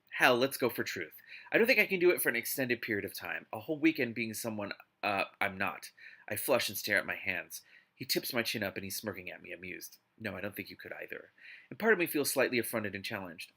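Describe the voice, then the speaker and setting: A man hosting a live read-aloud of a book, mixing narration with casual, exasperated and expressive commentary. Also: lowish voice, fairly quick. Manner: exasperated, expressive asides while reading.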